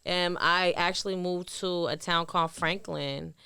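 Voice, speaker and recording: Jersey woman in her 30s, therapist guest on a podcast, super clean smooth voice, lots of filler words. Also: fairly low pitch, steady tone, unhurried, pushed and bright. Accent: New Jersey. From New York City and central New Jersey. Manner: chatty, loose, many fillers. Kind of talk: casual podcast interview.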